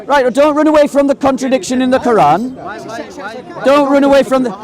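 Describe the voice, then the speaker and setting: A man's voice: extremely high pitch, fairly quick. Insistent man debating in a crowd. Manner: insistent, keeps repeating himself. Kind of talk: street debate. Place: outdoor public park. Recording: crowded outdoor street recording. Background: crowd chatter.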